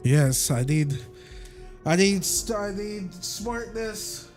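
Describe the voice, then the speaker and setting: A man gives loose, rambling commentary on a game stream. His voice sits a little high, and his talk is slangy and repetitive. Game music plays softly behind him. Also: expressive, slow. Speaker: video game livestreamer. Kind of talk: casual gameplay commentary.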